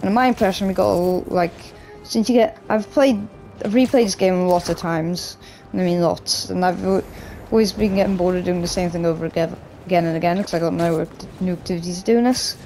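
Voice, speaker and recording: A man talks casually over a video game in a hoarse, croaky voice, gravelly and rough from a cough. His tone sits low and mellow, and he rambles loosely, full of restarts and fillers. Faint game music plays underneath. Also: steady tone, fairly quick, hardly any pauses, low-quality recording. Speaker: gaming YouTuber. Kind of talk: casual gameplay commentary.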